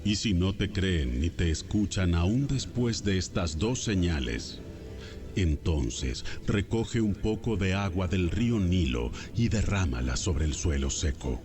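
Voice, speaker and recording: God in a bible audio drama, low voice, stern n commanding, music under it. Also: male voice, animated, measured pace. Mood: solemn, assuring. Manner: solemn, commanding declarations. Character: God, commanding, stern authoritative male deity.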